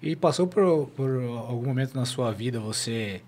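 A man interviewing a guest, asking prepared questions in a relaxed way. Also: medium pitch, moderate pace, a little hiss or hum.

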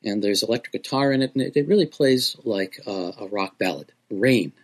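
A man's voice: lowish voice, animated, a little fast. A man hosting a podcast music segment, explaining things in an informal presenter's way.

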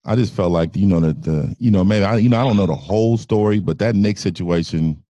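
A podcast host with a very deep voice asks his questions in a relaxed, slangy way. His tone is dark and mellow. The sound is dry and close, with no room around it. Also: quick pace, dry recording, lo-fi sound. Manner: laid-back questions, slangy asides. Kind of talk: casual interview.

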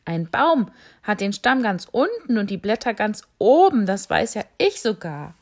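A woman voicing a giggly, mocking young giraffe in a children's story, high and teasing. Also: very animated, unhurried.

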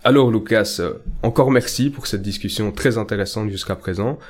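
A young man from Belgium with a fairly low voice hosts a political talk podcast. He argues with conviction and lifts his voice to stress each key point, in a relaxed conversational way. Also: normal speed. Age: young adult. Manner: earnest, emphatic, rises in pitch on key points. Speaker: podcast host.

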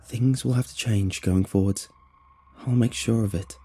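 A man's voice: on the low side, fairly even intonation, unhurried, mellow, breathy edge. A man voicing an anxious young woman who blames herself and speaks earnestly in a storybook. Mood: regretful. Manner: earnest, self-reproaching. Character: anxious, guilt-ridden young woman guide.

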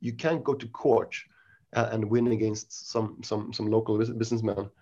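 A man with a Swedish accent, a podcast guest who speaks in halting, casual answers full of restarts and fillers. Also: lowish voice, fairly even intonation, dark tone. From Sweden. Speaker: podcast guest, investment researcher. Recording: dull lo-fi audio.

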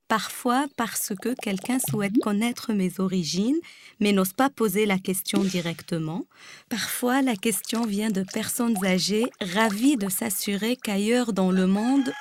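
A woman's voice: medium pitch, slight background noise. A woman from Lebanon, a native Arabic speaker with a Lebanese accent and a rolled R, reading a personal essay aloud. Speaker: guest reader.

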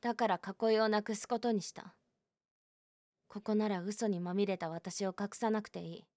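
A woman playing a stubborn, lonely high school girl who hides her weakness, speaking in a quiet, confessional voice in a voice drama. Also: steady tone, normal speed, no room sound, studio quality, natural voice. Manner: quiet, confessional, rising to anguished outbursts. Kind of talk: voice drama acting.

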